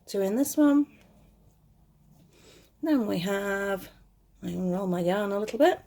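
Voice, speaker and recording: A woman giving casual step-by-step craft instruction in a slightly gruff voice, chatty and reassuring, often correcting herself as she goes. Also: animated, unhurried, mellow. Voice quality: slightly gruff, lower than her usual voice. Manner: chatty, self-correcting, reassuring toward beginners. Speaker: crochet tutorial host.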